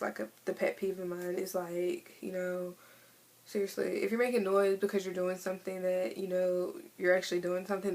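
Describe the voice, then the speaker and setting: A woman of twenty in a casual vlog, chatting in a relaxed way with many fillers as she answers tag questions. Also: fairly low pitch, gravelly. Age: 20s. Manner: chatty, laid-back, lots of fillers. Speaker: vlogger. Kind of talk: casual tag video chat.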